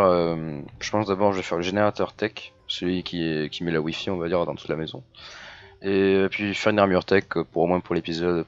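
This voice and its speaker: A hoarse man chatting casually as he plays a video game, full of fillers and restarts. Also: sits low, dull audio. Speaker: gaming YouTuber. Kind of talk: casual let's-play commentary.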